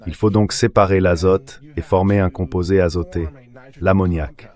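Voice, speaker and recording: A low-pitched AI-read male voice plays the part of an expert guest in a dubbed interview. The delivery is didactic, laying out each explanation step by step. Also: fairly even intonation, moderate pace, a little mellow.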